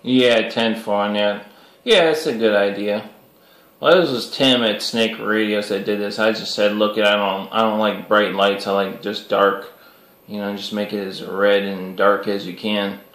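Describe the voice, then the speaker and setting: A man with a fairly low voice chats casually as a CB radio hobbyist. His talk is loose and slangy, and the room around him sounds noticeably echoey. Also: fairly even intonation, unhurried, a bit bright. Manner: informal, peppers talk with "you know" and CB slang. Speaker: CB radio hobbyist recording the video.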